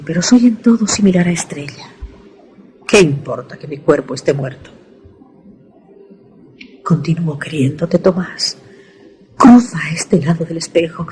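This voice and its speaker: A woman's voice: deep voice, darkish tone, poor audio. A woman playing the ghostly projection of a dead lover in a radio drama, earnest and solemn, loving and urgent.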